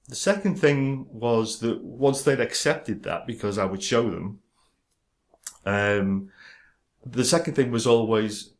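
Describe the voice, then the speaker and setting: A man talking directly to camera about his stammer, halting, with frequent pauses, ums and restarts as he controls his speech. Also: medium pitch. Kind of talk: casual direct-to-camera talk.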